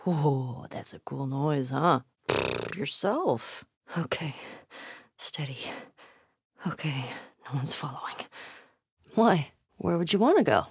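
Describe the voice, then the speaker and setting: A woman's voice: sits low, lively intonation, takes it slowly, pauses often, husky, full character voice. An actress playing a tough, foul-mouthed, wisecracking young woman survivor in a video game, blunt and naturalistic. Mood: tense, hushed. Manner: blunt, sweary, wry.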